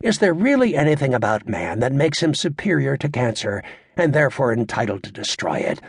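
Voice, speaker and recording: A man playing a brash, crude stand-up comedian working a club crowd in an audiobook, with a raspy voice and a cocky, sarcastic, showy, needling delivery. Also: medium pitch, big pitch swings, fairly quick, no echo, clean studio sound, full character voice. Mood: mock-earnest.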